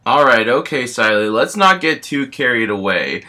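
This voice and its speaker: A man voicing a calm talking fish statue who tells stories in a rambling, hesitant, improvised way. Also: medium pitch, normal speed. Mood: measured. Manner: rambling, hesitant, filler-heavy. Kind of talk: improvised storytelling.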